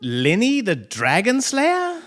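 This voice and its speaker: A man's voice: high voice, wildly swooping pitch, takes it slowly, fairly bright tone, exaggerated voice. A man playing a naive, eager and pedantic bookish sidekick in a comic play performed on stage. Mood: puzzled. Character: naive, starstruck, pedantic male sidekick with a book. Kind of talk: comic stage acting before a live audience. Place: theatre stage, live performance.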